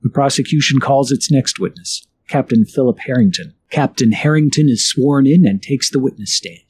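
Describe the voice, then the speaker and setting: A man's voice: mid-range voice, normal speed, a little mellow, husky. A man giving formal scripted narration of court proceedings as a narrator.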